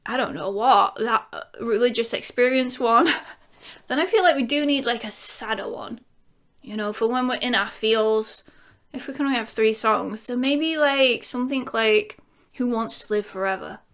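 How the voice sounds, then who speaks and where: Casual YouTuber woman, 30s, rambling Q&A chat, laughs easily, slightly higher voice. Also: normal speed, fairly bright tone. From England. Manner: chatty and giggly, rambling with many fillers; breaks into bits of song. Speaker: YouTuber answering viewer questions.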